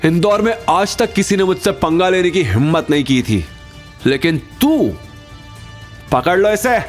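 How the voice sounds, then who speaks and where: Guy doing a smug vengeful crime boss in an audio drama, lively and bossy, music behind. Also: high-pitched, measured pace, voice pushed up. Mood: threatening. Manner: gloating, commanding. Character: vengeful, gloating male villain, arrogant city gang boss.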